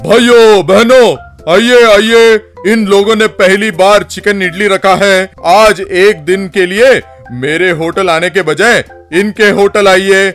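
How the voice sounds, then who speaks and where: A man's voice: high voice, fairly even intonation, slow, hardly any pauses, a bit bright, faint music behind. A man voicing a drunken, bullying village husband in a cartoon story, boastful, scornful and blustering. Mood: mocking. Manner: blustering, taunting.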